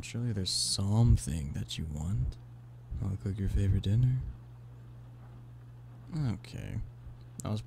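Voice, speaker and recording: A man acts out an affectionate boyfriend in an ASMR roleplay, with a lowish voice full of gravelly fry. He coaxes and teases playfully at a slow, leisurely pace. The talk is intimate and pleading, as if to one stubborn partner. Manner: teasing, pleading, intimate one-sided conversation. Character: playful, affectionate human boyfriend coaxing a stubborn cat-person. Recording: steady background noise of rain. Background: rain.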